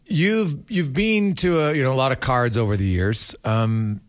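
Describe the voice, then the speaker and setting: A man hosting a casual sports interview, speaking haltingly with many filler sounds. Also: mid-range voice. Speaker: radio sports interview host.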